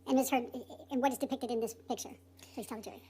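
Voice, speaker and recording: A woman's voice: fairly high pitch, fairly even intonation, very fast, warm tone, a little hiss or hum. A woman lawyer formally questioning a witness in court, her voice run through a voice changer.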